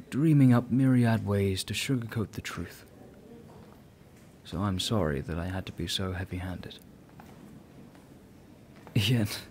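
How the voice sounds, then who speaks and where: Low, unhurried, gentle male angel, acted. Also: slight background noise. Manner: measured, consoling, gently amused. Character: gentle, knowing male angel guiding the dying. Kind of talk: radio play acting.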